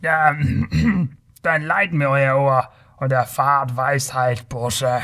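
Here is a man playing a swaggering male rogue from a fantasy audiobook. He jeers and bellows with scornful condescension. His temper is short and his boasting is loud. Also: medium pitch, steady tone, slow. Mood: condescending. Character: mocking, boastful, hot-tempered southern male thief in a tavern.

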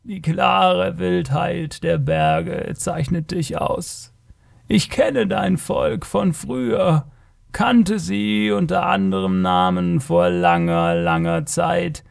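Ancient sorrowful alien creature, high and slow. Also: male voice, lively intonation, gravelly, heavily acted. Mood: hesitant, sighing. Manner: slow, halting, lamenting delivery.

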